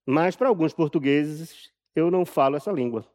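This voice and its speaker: A man with a Brazilian accent giving a live talk from a prepared text, telling his story deliberately and emphatically, repeating phrases for effect. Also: a little high, a little mellow, a little hiss or hum. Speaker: journalist and author speaking at an event.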